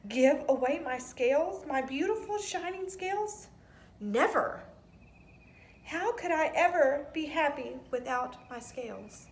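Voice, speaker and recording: A woman's voice: high-pitched, expressive, measured pace, pitched above the performer's own voice. A woman voicing a vain, forlorn male fish from a storybook, plaintive and dramatic. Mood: indignant, upset. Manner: plaintive, exclamatory. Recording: roomy echo, some background noise.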